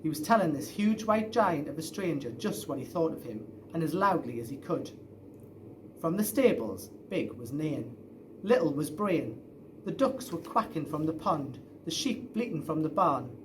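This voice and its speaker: A man's voice: a little high, a little hiss or hum, low-quality recording. A man reading a children's story aloud expressively, as a storyteller. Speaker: story reader.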